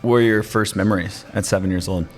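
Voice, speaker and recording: Low-voiced male podcast host. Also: fairly even intonation, fairly quick, faint music behind. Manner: curious, prompting questions. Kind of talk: interviewing a guest.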